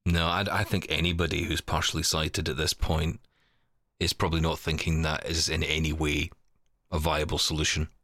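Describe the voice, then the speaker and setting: Very deep-voiced man chatting casually. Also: little pitch movement, normal speed, sharp tone, no echo, clean studio sound. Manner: hedging, careful, self-correcting. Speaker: podcast co-host. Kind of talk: casual reflective chat.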